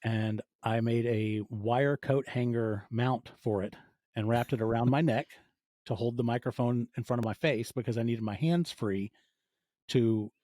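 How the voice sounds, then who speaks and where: A man in his forties with a soft, mellow voice talks as a guest on a podcast. He tells stories in a casual, conversational way, briefly acting out what people said. The sound is very dry, with no room around it. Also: medium pitch, no room sound. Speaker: podcast guest, audio fiction producer. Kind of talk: casual interview storytelling.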